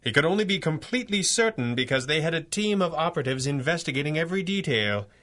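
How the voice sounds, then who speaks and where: A man's voice: a little high, expressive, quick pace, fairly bright tone. A man playing a stern, dashing masked crimefighter in 1930s-style radio adventure drama, with clipped, formal, dramatic delivery. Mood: businesslike.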